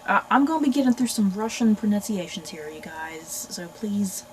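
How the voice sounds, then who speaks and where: A woman's voice: mid-range voice, animated, moderate pace, darkish tone, slight background noise, poor audio. An American woman hosting a book channel, chatting casually and expressively to viewers as she reads synopses aloud. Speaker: book review channel host.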